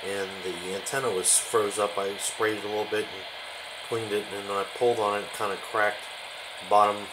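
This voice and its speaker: A man with a lowish voice shows off gear in an unscripted, casual way. His phrases come haltingly and often trail away. It is recorded on a handheld camera in a home kitchen, with plenty of noise behind him. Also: little pitch movement. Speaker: host of a radio hobby video show. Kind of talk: casual show-and-tell product demonstration. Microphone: handheld camera, behind the lens.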